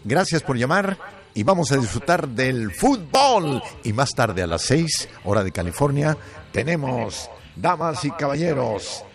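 A man's voice: mid-range voice, very animated. A man hosting a radio call-in show, joking and playful, stretching out words for effect. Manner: playful, joking, drawn-out shouts. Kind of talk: lively radio call-in chat. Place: Los Angeles studio.

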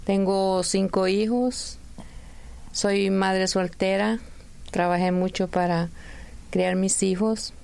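A woman's voice: medium pitch, steady tone, slow pace, gravelly, long pauses, a little mellow, smooth. A middle-aged woman with a Honduran accent giving personal testimony in an interview, answering plainly and a little hesitantly.

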